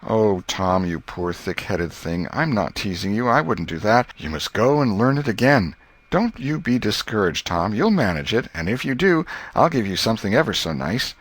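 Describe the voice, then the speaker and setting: A man's voice: on the low side, big pitch swings, moderate pace, a bit bright. A man voicing a kind older sister who coaxes and reassures a struggling boy. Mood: coaxing. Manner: soothing, coaxing.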